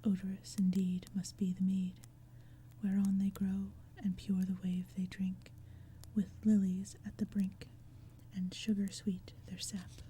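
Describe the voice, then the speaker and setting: A woman reading poetry in a soft, breathy ASMR whisper, with a gentle, hushed storytelling manner. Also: medium pitch, little pitch movement, measured pace. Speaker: audiobook reader. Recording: faint ambient crackling fire and forest sounds. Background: crackling fire, forest ambience.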